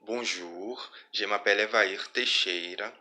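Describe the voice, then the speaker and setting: A Brazilian man with a somewhat low voice speaking French slowly. His tone is strikingly bright and forceful, and he sounds calm and earnest, with a Brazilian accent. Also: slight background noise. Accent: Brazilian Portuguese. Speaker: teacher. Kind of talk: self-introduction.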